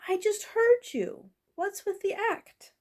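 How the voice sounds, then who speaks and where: A woman's voice: very high voice, lively intonation, slow pace, a little mellow, pitched above the performer's own voice, exaggerated voice. A woman voicing a kindly, awkward male castle master who speaks hesitantly and reassuringly in a fantasy story. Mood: puzzled.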